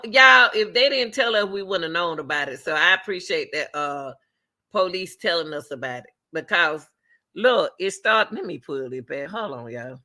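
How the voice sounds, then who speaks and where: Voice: medium pitch, sharp tone. A man giving impassioned, repetitive, exhorting reaction commentary as the host of an online show.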